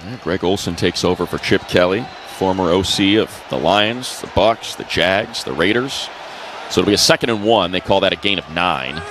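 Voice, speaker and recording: Deep-voiced man calling live football. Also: normal speed, slight background noise. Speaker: play-by-play announcer. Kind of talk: live football radio commentary. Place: open stadium broadcast booth. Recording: loud stadium ambience behind. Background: loud stadium ambience.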